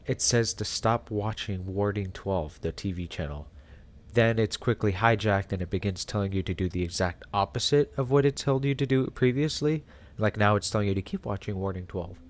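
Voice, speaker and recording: A man with a fairly low voice hosts a commentary in a casual, conversational way. He explains things step by step and slips in wry, offhand remarks. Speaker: host. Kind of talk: casual video analysis commentary.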